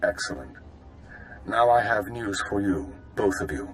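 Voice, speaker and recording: A man's voice: fairly low pitch, animated, a little slow, faint music behind, rough recording. A man voicing a curt, authoritative intelligence chief in a video game, clipped and businesslike. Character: curt, authoritative spymaster man commanding agents.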